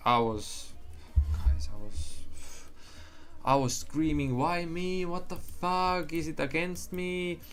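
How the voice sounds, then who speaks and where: A man's voice: fairly high pitch, takes it slowly, faint music behind. A young man whose first language is Estonian, talking casually on a livestream, rambling, swearing and restarting his sentences. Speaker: livestream trader.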